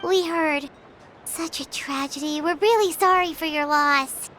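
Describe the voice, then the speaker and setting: A woman's voice: high-pitched, a little slow, slight background noise. A woman voicing a tiny, cheeky and kind fairy companion in a video game, with a childlike, perky delivery. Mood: sympathetic. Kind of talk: scripted video game dialogue. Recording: loud game music behind the voice. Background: loud music.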